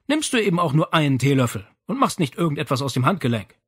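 A man's voice: a little high, sing-song, fast, husky, dry recording, clean studio sound. A man playing a firm, practical adult son in an audiobook, insistent and matter-of-fact. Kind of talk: audiobook character acting.

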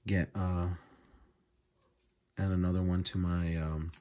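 A man's voice: low-pitched, little pitch movement, takes it slowly, warm tone, a little hiss or hum. A man hosting a casual unboxing vlog, enthusiastic and full of small fillers as he goes through his purchases. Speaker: video host. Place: indoors at a table, off camera.

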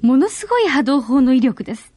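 A woman's voice: high voice, big pitch swings, brisk, dark tone, no echo. A woman narrating a 1970s space radio drama in an earnest, suspenseful, dramatic storytelling manner. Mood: awed, grave. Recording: very noisy old radio drama recording.

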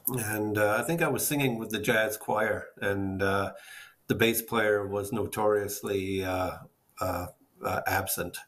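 A man's voice: on the low side, a bit bright. A man in his fifties telling stories casually, often searching for words, on a video call from home. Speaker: guest jazz bassist. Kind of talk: casual storytelling in a panel interview. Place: small room at home, indoors. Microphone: laptop or webcam video call.

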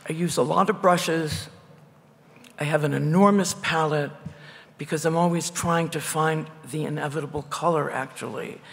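Loud, gravelly older woman in a hall. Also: deep voice, fairly even intonation, normal speed. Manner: blunt, wry and digressive. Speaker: painter and guest artist. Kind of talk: candid conversational talk at a public event. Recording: echoey hall.

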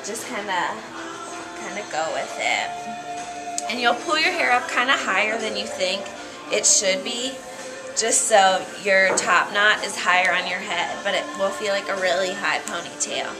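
A woman's voice: mid-range voice, unhurried, low-quality recording. A young American woman giving a casual how-to demonstration as a hair stylist, in an informal, loosely phrased, easygoing manner. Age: young adult. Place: hair salon, indoors. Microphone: camera mic a short distance away. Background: music.

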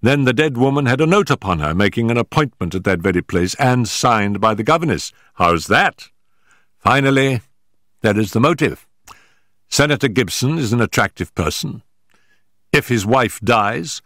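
A man reading an audiobook, voicing a brisk, sharp-minded male detective who sets out facts in a measured, emphatic way. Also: medium pitch, no echo, clean studio sound, voice pushed up, lightly acted.

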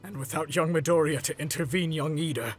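A man's voice: on the high side, a little fast, lightly acted. A man voicing a big, middle-aged hero in a comic dub, exasperated and theatrically emphatic. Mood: grave, resigned. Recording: music behind.

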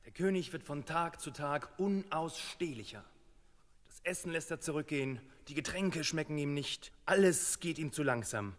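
A fretful, deferential servant of a king, played by a man in a radio play. The voice sits fairly high and rings with some hall reverb. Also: expressive, moderate pace, natural voice. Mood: worried. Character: worried, dutiful male royal servant.

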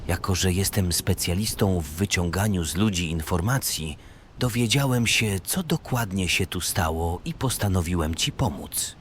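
An actor playing a menacing strangler and teasing older brother in an audio drama, with an amused, taunting, sardonic manner. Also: male voice, low-pitched, normal speed, slight background noise, lightly acted. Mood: sly, mocking. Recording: music behind the voice. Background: background music.

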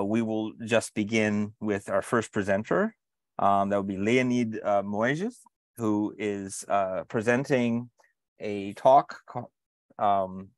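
Male moderator, deep and unhurried, drawn-out filler-laden intro, dry audio. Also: no echo. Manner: slow, filler-heavy introduction. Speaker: panel moderator. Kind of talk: introducing a conference panel.

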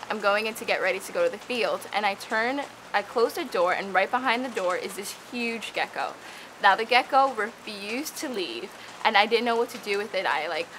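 A woman's voice: medium pitch, poor audio. A young woman from the Dominican Republic, a student researcher, talking eagerly to camera in a casual interview outdoors among tropical plants. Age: young adult. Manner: eager, bubbly, quick restarts. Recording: steady rain noise behind.

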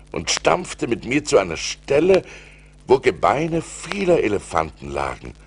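An actor playing a seafarer who recounts perilous adventures theatrically and deliberately, in an audio play transferred from vinyl. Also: male voice, lowish voice, a little hiss or hum, full character voice. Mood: awed, dramatic. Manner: theatrical, deliberate, swelling with suspense. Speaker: narrator. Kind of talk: dramatic storytelling in an audio play.

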